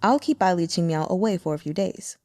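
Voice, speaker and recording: A woman's voice: on the low side, a little fast, a little mellow, no echo, studio quality, lightly acted. A woman voicing a curt, cold demon lord. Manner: curt and expressionless.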